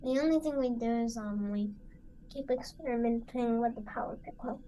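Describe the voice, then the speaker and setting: High voiced female rpg player, kinda hesitant, casual, some faint noise. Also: a little fast, warm tone, poor audio. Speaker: tabletop game player. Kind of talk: casual chat.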